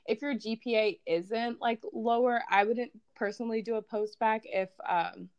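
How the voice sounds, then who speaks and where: A woman's voice: fairly high pitch, steady tone, normal speed, clear, resonant tone, muffled recording. A young woman co-hosting a podcast, giving advice in a hesitant, casual way with many "like"s.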